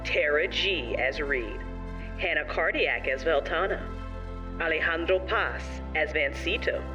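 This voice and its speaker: An announcer reads the scripted closing credits of an audio drama. Music plays clearly behind, and the voice is slightly away from the mic. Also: medium pitch, measured pace, recorded from a distance, muffled recording.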